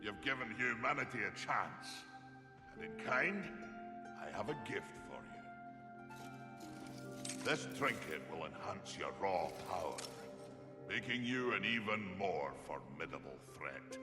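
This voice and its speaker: A man's voice: lowish voice, animated, measured pace, long pauses. An actor playing an ancient, cryptic underworld lord in a video game, grave and portentous in his delivery. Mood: quiet, grateful. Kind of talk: dramatic video game voice acting. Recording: echoey, long reverb, music underneath.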